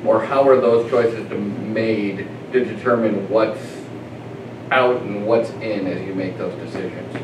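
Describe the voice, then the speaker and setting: Audience questioner, man, lowish voice, measured, echoey room with noise. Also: fairly even intonation. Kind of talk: question from the floor. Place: event room at a community panel. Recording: echoey, distant, noisy.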